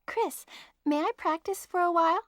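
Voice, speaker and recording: A woman's voice: extremely high pitch, normal speed, no echo, studio quality. A woman voicing a young female rookie medic in a 1990s video game, with stiff, stilted line reading. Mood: stiff, polite.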